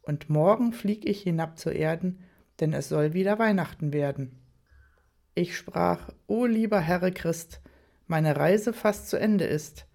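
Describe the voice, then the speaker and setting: A man's voice: high-pitched, measured pace, vocal fry, darkish tone. Narrator doing a cheerful man reciting verse. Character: cheerful male colleague reciting a Christmas poem.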